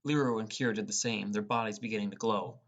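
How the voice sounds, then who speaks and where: A man's voice: fairly low pitch, steady tone, fast talker, fairly bright tone. A man reading first-person fantasy narration as an audiobook narrator. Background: music.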